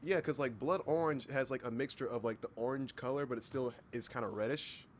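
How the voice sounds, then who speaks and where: A man's voice: mid-range voice, fairly quick, a little hiss or hum. A man hosting a casual livestream chat, speaking informally, with repeated words and occasional swearing. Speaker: livestream host and hobby voice actor.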